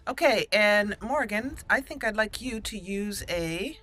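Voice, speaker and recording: A woman giving casual, expressive commentary as she roleplays through a video game, talking to the characters as she plays. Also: medium pitch, measured pace. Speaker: gaming channel host doing a let's play.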